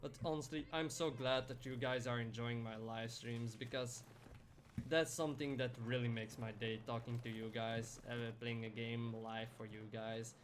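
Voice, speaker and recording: A young man talking casually while he streams a game, rambling easily from one story to the next and stopping to answer chat by name. His voice sits at an ordinary middle pitch, and there is a faint hiss of background noise. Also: steady tone, normal speed. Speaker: live streamer.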